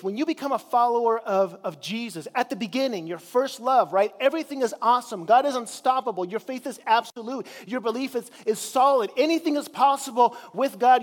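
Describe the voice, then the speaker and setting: High-voiced man preaching from Chile. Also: in a large hall. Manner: conversational preaching with stretched key words. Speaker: pastor. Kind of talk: sermon. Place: church sanctuary.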